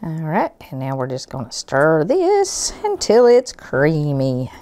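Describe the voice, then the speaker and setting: A middle-aged woman, hoarse from a cold, explaining a recipe in a homey, chatty way in her home kitchen. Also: low voice, wildly swooping pitch, a little slow, warm tone, no room sound. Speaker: cooking show host. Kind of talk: home cooking demonstration.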